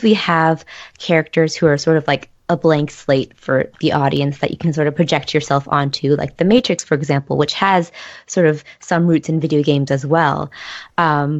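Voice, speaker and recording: Low-voiced woman, dull dry audio. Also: darkish tone, no echo. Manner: tentative, thoughtful. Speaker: podcast panelist, writer. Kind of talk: casual film discussion.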